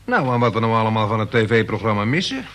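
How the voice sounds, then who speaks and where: A dutiful, wordy grown son. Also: male voice, fairly low pitch, very animated, fast, a bit bright. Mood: deferential, wry. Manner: formal, wordy, deferential. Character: dutiful, dryly pompous grown son humoring his mother. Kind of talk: radio play acting. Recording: noisy old radio play recording.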